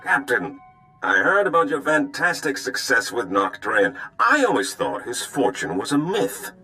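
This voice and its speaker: An actor playing a courteous, flattering male underworld schemer in a video game. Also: medium pitch, a little slow, faint music behind, heavily acted, rough recording. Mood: ingratiating. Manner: polished, flattering. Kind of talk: video game cutscene acting.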